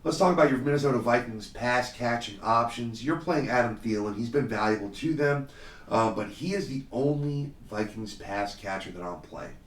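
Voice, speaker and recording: A man hosting a solo podcast in a casual, confident way, slightly hoarse from a cold, with frequent fillers. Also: mid-range voice, normal speed, echoey room, far from the mic. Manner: confident, conversational, many fillers. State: slightly hoarse, under the weather. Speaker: podcast host. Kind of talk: solo fantasy football podcast talk.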